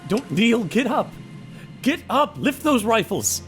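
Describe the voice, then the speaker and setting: A defiant pastor and father, voiced by a man with a lively, swinging voice. He speaks slowly and shouts in urgent bursts. Music plays under him. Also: very high-pitched, exaggerated voice. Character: defiant middle-aged male pastor and father. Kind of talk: fan audio drama acting.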